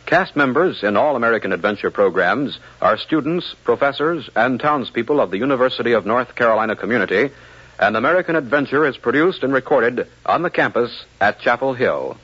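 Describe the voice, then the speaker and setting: A man announcing and narrating a 1950s radio programme from a script, in a formal, measured delivery. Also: medium pitch, expressive, a bit bright, breathy edge. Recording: muffled vintage radio broadcast.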